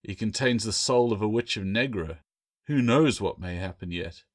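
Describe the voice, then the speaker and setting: A man voicing a grim, wary pirate captain in a fantasy audiobook, measured, ominous and firm. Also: fairly low pitch, lightly acted. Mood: grave, wary.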